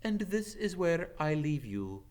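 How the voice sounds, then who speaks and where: A man voicing a noble, grave centaur with measured, formal, earnest speech in a storybook reading. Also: on the high side, sing-song, a little mellow, pitched above the performer's own voice. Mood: gentle, grave. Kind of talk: dramatic audiobook character reading.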